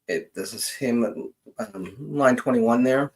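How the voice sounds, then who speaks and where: A man hosting a casual live genealogy session, explaining things in a halting, hesitant way and often trailing off mid-sentence. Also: mid-range voice.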